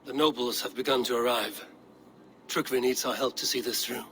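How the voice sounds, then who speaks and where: A resolute Viking clan leader gives orders in an earnest, steady voice. The tone is bright and clear, at a middle pitch. Faint ambient noise runs behind him. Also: male voice, moderate pace. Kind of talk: video game cutscene acting.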